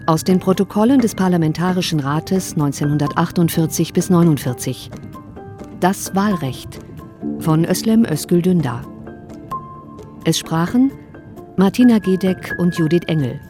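Lady announcer, slightly low voice, reading radio show credits, music underneath. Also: expressive, normal speed.

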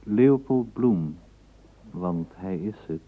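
A man narrating a literary radio play in a measured, dramatic storytelling manner. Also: sits low, lively intonation. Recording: tinny, narrowband old radio tape, faint hiss.

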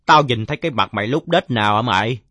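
A man's voice: medium pitch, animated, quick pace, bright, forceful tone, dry recording. A man voicing a belligerent, drunken village father in a story, blustering and coarse-mouthed.

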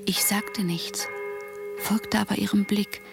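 An actress playing a thoughtful, watchful young Victorian gentlewoman, narrating a diary in a radio play in a hushed, measured way. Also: medium pitch, fairly even intonation, gravelly, loud music behind the voice. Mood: hushed, uneasy.

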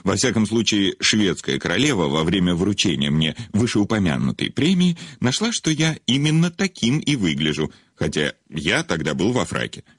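A man's voice: low voice, slightly rough, dry recording, studio quality, heavily acted. A man acting in an audio play as a famous, cynical, hard-drinking aging writer with a hesitant, self-mocking, curt manner.

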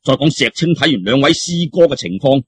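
A man with a Cantonese accent telling a martial arts tale as a storyteller, in a colloquial, animated way that addresses listeners directly. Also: medium pitch, fairly quick, slightly rough, no echo, studio quality.

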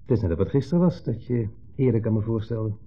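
A man's voice: on the low side, fast, mellow. A male actor playing a smug, needling ex-husband in a 1970s Dutch radio drama, theatrical and falsely jovial in an insinuating way. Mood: nostalgic, needling. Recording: muffled old 1970s radio recording.

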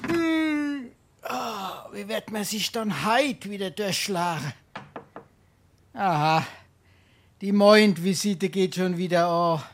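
An actor playing a wily, penniless sponger in a Darmstadt dialect stage comedy, wheedling with theatrical mock courtesy. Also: male voice, high voice, slow, pauses often. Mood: groggy. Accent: Hessian, Darmstadt dialect. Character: wily, penniless sponger, a glib charming idler.

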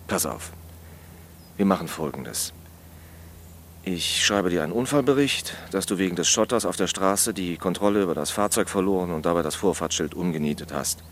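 Guy doing a deep dry cop in an audiobook, teasing but fair, slight noise behind. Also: close to an everyday voice. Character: dry, teasing but lenient adult policeman. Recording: faint background noise.

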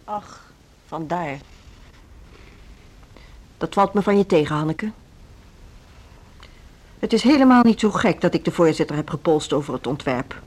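An actress in a Dutch radio play playing a mild, conciliatory middle-aged school director, measured and husky, with a Netherlands accent. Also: female voice, low-pitched, lively intonation, a little mellow. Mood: defensive, measured. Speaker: radio drama actor.

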